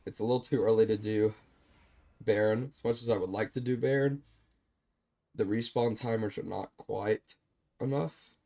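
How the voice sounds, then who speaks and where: Man, low mellow voice, a bit stuffy, calm self-deprecating game review commentary. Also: normal speed, vocal fry, pauses often. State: slightly stuffed up. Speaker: streamer reviewing his own game. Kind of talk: gameplay commentary over a replay.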